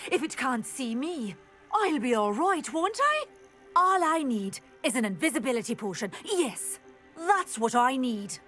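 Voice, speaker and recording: A woman's voice: high-pitched, a bit bright, heavily acted. A woman playing a nervous, superstitious, eccentric village witch in a video game, theatrical and wavering. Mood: fearful, fretting. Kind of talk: video game cutscene acting.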